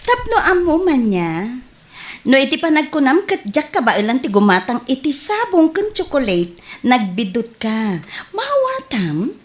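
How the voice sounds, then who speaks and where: Young sweetheart, high-pitched, very expressive melody, coy and blunt, Ilocano accent, radio comedy drama. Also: female voice, a little slow. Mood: blunt, dismissive. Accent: Ilocano Filipino.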